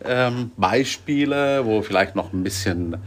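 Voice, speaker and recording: A man's voice: lowish voice, animated, measured pace. A man from Sicily as a guest, talking casually with many hesitations. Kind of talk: casual chat.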